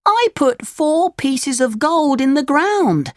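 A woman voicing a naive, earnest, excitable puppet boy in a children's storybook. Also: high voice, slow, no room sound, clean studio sound, pitched above the performer's own voice, exaggerated voice. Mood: upset, questioning.

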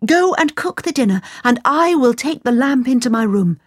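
A woman's voice: high-pitched, animated, normal speed, no room sound, clean studio sound, voice pushed up. A woman voicing an eager, commanding young man hero in a storybook reading.

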